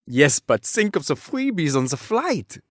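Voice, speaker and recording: A man's voice: a little high, sing-song, unhurried, no room sound, studio quality. A man playing a playful, mischievous young superhero in an audio drama.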